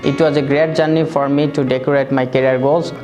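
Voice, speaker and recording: A man's voice: mid-range voice, level tone, brisk, darkish tone, poor audio. A young man giving a scripted testimonial as a graduate. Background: music.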